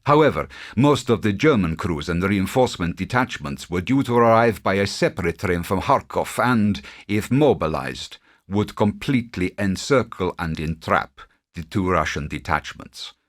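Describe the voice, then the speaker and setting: A man narrating a war game cutscene in a grave, measured, scripted documentary style. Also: low voice, expressive. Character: solemn male narrator of a war campaign.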